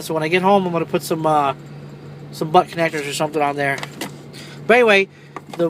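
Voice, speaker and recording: A man with a high-pitched voice talks through a casual hands-on tutorial. His delivery is informal and rambling, with some salty language. There is some vehicle noise around him, as if recorded in a truck cab. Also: fairly bright tone. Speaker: truck driver presenting a how-to video. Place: inside a parked semi truck cab. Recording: clearly noisy vehicle ambience.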